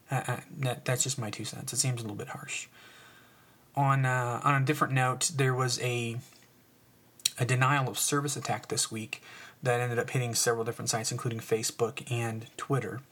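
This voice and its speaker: A man's voice: medium pitch, flat delivery, normal speed, fairly bright tone. Sniffly, rambling podcast guy. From United States. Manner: rambling, filler-heavy, restarts, sarcastic asides. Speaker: podcast host. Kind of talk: casual solo podcast talk.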